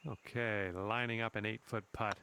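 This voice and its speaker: An actor playing a golf video game commentator, giving calm, understated remarks on each shot in scripted commentary. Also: male voice, lowish voice, normal speed. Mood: upbeat. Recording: game audio under faint music.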